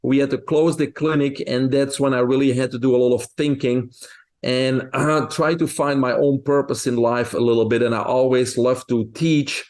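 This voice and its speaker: A man's voice: mid-range voice, fairly even intonation, moderate pace, darkish tone. A man in his fifties with a Belgian accent, answering interview questions conversationally as a health coach and speaker. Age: 50s.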